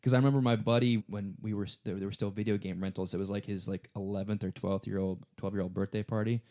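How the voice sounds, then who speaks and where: A man in his thirties with a fairly low voice co-hosts a podcast. His talk is casual and unpolished, with some swearing. He sounds like someone bantering with a friend over a guessing game. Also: fairly even intonation, fast, dark tone. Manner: informal, sweary. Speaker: podcast co-host.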